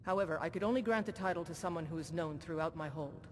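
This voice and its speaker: An actor voicing an older jarl and former soldier who speaks in a measured, formal, commanding way. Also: male voice, natural voice. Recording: game audio with long reverb and faint rumble.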